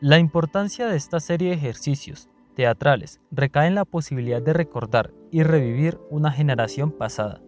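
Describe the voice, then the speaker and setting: A man's voice: a little high, fairly quick, hardly any pauses. A man reading scripted documentary narration. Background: music.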